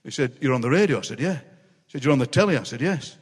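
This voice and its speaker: Older man, Yorkshire accent, husky voice, storytelling with impressions, live on stage in a reverberant city hall. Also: medium pitch, lively intonation. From South Yorkshire, England. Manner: anecdotal, laughing often, quick comic impressions. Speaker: guest poet. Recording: long reverb of a large hall.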